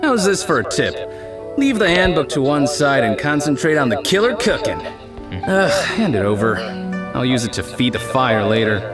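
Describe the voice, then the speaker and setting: An actor playing a proud, cheerful street-food cook in a game, brash and confident. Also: male voice, medium pitch, big pitch swings, moderate pace, close to an everyday voice. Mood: boastful. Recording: game audio with loud music behind.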